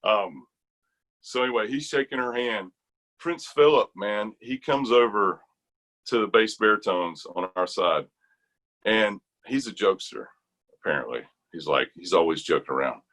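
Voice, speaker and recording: Low-voiced middle-aged American man telling stories. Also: normal speed. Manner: animated, colloquial, peppers talk with "man" and "like". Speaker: interview guest, retired army chorus bass singer. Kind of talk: casual storytelling in conversation.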